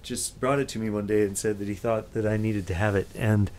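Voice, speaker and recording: A middle-aged man hosting a casual chat in his home basement studio, speaking in a relaxed, hesitant way with frequent ums. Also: fairly low pitch, fairly quick, slight background noise. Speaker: host musician. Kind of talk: casual video intro and chat.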